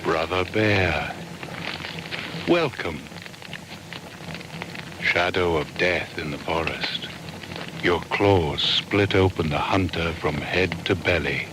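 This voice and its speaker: An actor playing a solemn shaman hunter, speaking measured ritual verse for a radio drama. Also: deep voice. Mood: solemn, wary. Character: solemn male shaman hunter invoking animal spirits. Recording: clear background ambience noise.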